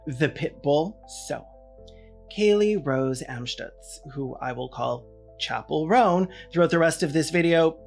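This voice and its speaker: A man hosting a scripted video essay, delivering commentary with sarcastic, wry asides. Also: mid-range voice.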